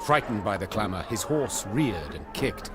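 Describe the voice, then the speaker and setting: A man playing a solemn chronicler, narrating a fantasy video game in a grave, deliberate storybook manner. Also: lowish voice, big pitch swings, background noise. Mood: solemn, epic. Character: solemn male chronicler of a war saga.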